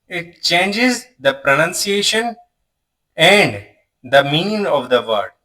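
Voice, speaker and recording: Young male language teacher, bright, slightly high voice, slow and patient, repeats every phrase, a bit off-mic. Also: far from the mic, poor audio. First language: not Spanish. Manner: repeats each phrase slowly and clearly, then gives the English. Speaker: Spanish teacher. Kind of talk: slow beginner language lesson.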